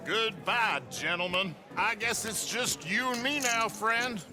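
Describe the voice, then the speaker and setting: An actor playing a proud, boastful gambler in a video game, pompous and goading. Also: male voice, sits high, slow pace. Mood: haughty. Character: haughty boastful male gambler, proud and easily needled. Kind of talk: cinematic video game acting. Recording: music behind the voice.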